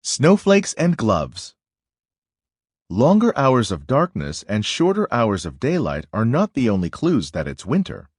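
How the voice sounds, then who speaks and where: A man reads a nonfiction picture book for children. His pitch moves up and down a great deal while the pace stays slow and deliberate. The voice is a little husky, recorded close and dry. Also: huge pitch swings, no echo. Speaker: read-aloud narrator.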